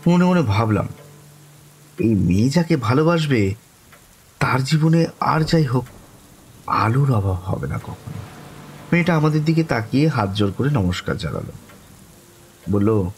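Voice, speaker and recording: A man playing a courteous young man who narrates his own eerie encounter in a horror audio story, lingering and expressive. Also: mid-range voice, measured pace, long pauses, a little hiss or hum. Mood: smitten, wistful. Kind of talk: dramatic first-person horror story narration.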